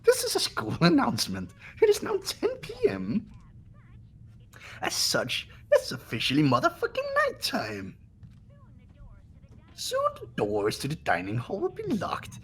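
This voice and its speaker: A man's voice: sits high, a bit bright, slight background noise, voice pushed up, heavily acted. A man voicing a gleeful, foul-mouthed toy bear announcer in a game, mock-formal and sing-song. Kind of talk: game character voice in amateur playthrough.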